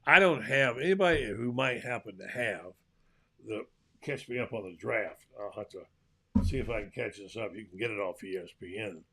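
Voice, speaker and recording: An older man hosting a live show in a chatty, folksy way, calling out loudly to his guests. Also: fairly low pitch, normal speed, noisy background. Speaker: host. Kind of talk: casual live show chat.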